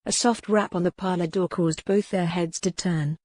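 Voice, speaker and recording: A woman's voice: lowish voice, steady tone, moderate pace, darkish tone, no echo, studio quality. A woman reading scripted, dramatic narration from a historical romance novel as an audiobook narrator.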